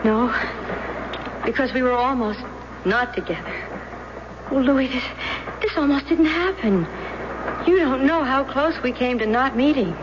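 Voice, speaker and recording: Lovestruck young woman, highish voice, gushing, breathless, 1970s radio drama, very noisy recording. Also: expressive, measured pace, pauses often, muffled recording. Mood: rapturous. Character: giddy, lovestruck young German woman, romantic and talkative.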